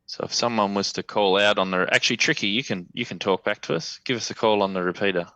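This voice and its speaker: An Australian man on a video call, casually showing off his radio station as he works the software. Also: fairly low pitch, fast talker, no room sound, poor audio. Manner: relaxed, talks while operating radio software.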